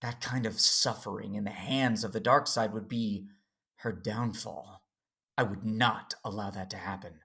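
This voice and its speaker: A man playing an earnest, worried Jedi father who tells his story in serious, deliberate audio drama narration. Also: fairly low pitch, steady tone, gravelly, bright, forceful tone, exaggerated voice. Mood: grave, worried. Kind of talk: first-person audio drama narration and dialogue.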